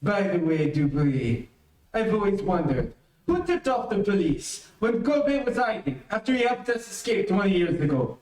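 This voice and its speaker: Suspicious radio mystery convict man acting: wry, needling, high voice, very echoey and distant. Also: very animated, normal speed, a little mellow. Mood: accusing. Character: suspicious, needling male escaped convict with old grudge. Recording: very echoey, distant amateur stream audio.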